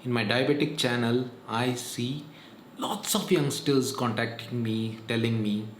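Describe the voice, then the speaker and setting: A man's voice: medium pitch, steady tone, a little slow. A man, giving earnest, repetitive health advice in a casual vlog monologue. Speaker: vlogger. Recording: echoey room, faint noise.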